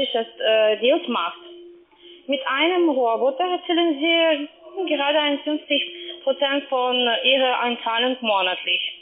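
A woman with a foreign accent in German gives a halting phone sales pitch as a call centre agent, stumbling through broken grammar. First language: not German. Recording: tinny narrow-band phone line.

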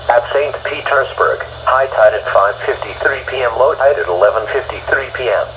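An American man's synthetic text-to-speech voice reading a weather bulletin in a flat, formal way. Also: mid-range voice, moderate pace. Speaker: automated weather radio announcer. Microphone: phone held to a radio speaker. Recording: dull radio broadcast re-recorded by phone, faint noise.

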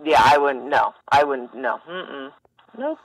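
A woman's voice: extremely low pitch, animated, measured pace, dry recording. A woman speaking bluntly and informally in a casual phone conversation, as a helpline staffer. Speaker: mental health helpline staffer. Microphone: telephone. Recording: phone call.

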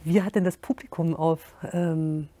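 A woman in her thirties hosting an interview, asking questions and making announcements in a friendly, measured way. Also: low voice, steady tone, warm tone. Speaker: host. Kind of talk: moderating an event interview. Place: indoor room with curtains.

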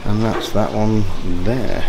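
Man chatting over loud fan noise. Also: on the low side, expressive, slow, gravelly. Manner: chatty, easygoing, pointing things out. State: warm and sweaty. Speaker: vlogger filming a butterfly house visit. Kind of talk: casual walk-around commentary. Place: hot indoor tropical butterfly house. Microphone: handheld action camera. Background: loud fans, heaters and waterfalls.

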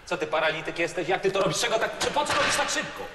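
A hot-tempered man yelling in a hall. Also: high voice, sing-song, racing. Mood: furious. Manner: yelling, mocking, abusive. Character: aggressive, hot-tempered man shouting orders. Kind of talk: film acting. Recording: echoey hall, noisy, from far off.

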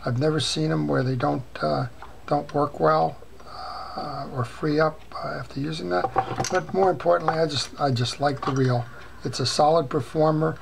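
Gravelly older man chatting at a workbench. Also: fairly high pitch. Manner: relaxed, many "uh" pauses. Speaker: fishing reel repair channel host. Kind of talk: casual show-and-tell. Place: workbench at home. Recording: clear background noise.

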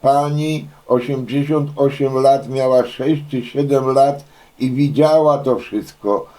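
A man's voice: mid-range voice, steady tone, slow, dark tone. An older man from Poland rambling casually and folksily as an amateur radio operator, often repeating himself with filler phrases. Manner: folksy, chatty, frequent filler phrases and repetitions. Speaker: amateur radio operator, hobby video maker.

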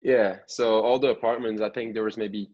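A man's voice: fairly low pitch, fairly even intonation, normal speed, muffled recording. A man in his twenties, a pro soccer player, chatting casually as an interview guest. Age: 20s. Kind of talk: casual podcast interview chat.

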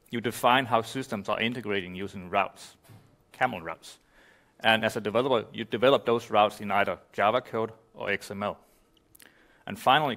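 A man from Denmark, giving a relaxed technical conference talk and explaining with many "you know" fillers. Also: fairly low pitch. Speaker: conference speaker. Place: conference room.

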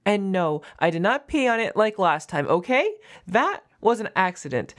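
A woman's voice: medium pitch, big pitch swings, normal speed, lightly acted. A woman voicing a defensive, flustered young half-wolf girl roommate in an audio roleplay, stammering and evasive with a whiny manner.

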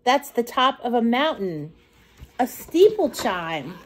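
Animated, high-pitched woman reading a picture book. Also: slight background noise. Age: middle-aged. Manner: slow, deliberate, sing-song delivery for young children. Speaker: children's storytime reader.

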